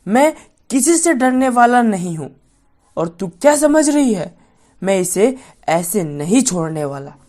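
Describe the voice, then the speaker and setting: A woman's voice: medium pitch, sing-song, measured pace, dark tone. A woman voicing a menacing, boastful ancient male demon who possesses a priest in a children's story. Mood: angry, threatening. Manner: boastful, threatening declarations.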